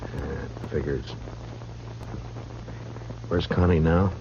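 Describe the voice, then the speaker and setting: An American actor playing a dry, unhurried frontier marshal in an old-time radio Western, wry and understated. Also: male voice, a bass voice, fairly even intonation, heavily acted. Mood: terse, probing. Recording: muffled old radio recording, hum and hiss.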